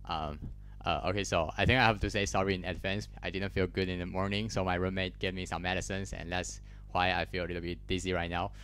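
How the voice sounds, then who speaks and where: Taiwanese student in his twenties, low and smooth voice, halting conference talk with lots of fillers, handheld mic in a lecture hall. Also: flat delivery, fairly bright tone. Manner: hesitant, many fillers and restarts. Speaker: conference speaker. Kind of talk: technical talk.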